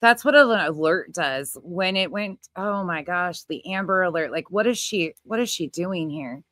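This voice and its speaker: A woman with a low voice gives informal online commentary. She is blunt and exasperated and swears casually. The sound is dry, with little room to it. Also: animated, unhurried, fairly bright tone, no echo. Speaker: online commentator reacting to another streamer.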